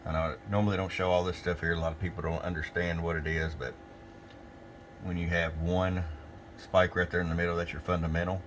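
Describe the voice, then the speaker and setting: A man's voice: low-pitched, level tone, a little fast, a little hiss or hum. A plain-spoken, folksy man giving a casual, off-the-cuff hands-on product demo at a workbench as a shop technician. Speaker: radio shop technician and presenter. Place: indoors at a radio workbench.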